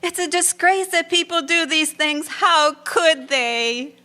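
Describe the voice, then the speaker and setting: A woman's voice: very high-pitched, slow, pushed and bright, exaggerated voice. A woman playing a genteel, house-proud older wife hosting a wedding in a historical audio drama. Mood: indignant. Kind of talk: historical radio drama acting.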